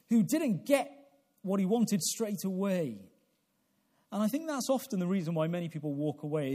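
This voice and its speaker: A man in his forties preaching a sermon in a deliberate, halting way, repeating phrases and posing questions to his listeners. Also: high voice, mellow. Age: 40s. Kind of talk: evangelical sermon with prayer.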